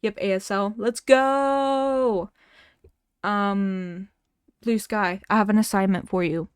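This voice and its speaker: A woman's voice: mid-range voice, fairly even intonation, takes it slowly, clean tone, no room sound, studio quality. A woman streamer in casual chat with her viewers, stuttering often and restarting words, laughing now and then. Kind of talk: casual livestream chat, reading chat messages and a story aloud.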